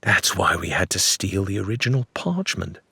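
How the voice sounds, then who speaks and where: A man voicing a wary, quick-witted woman adventurer in an audiobook. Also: low-pitched, sing-song, gravelly, pushed and bright, husky, no echo, studio quality, exaggerated voice. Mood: realizing. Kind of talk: audiobook character voice.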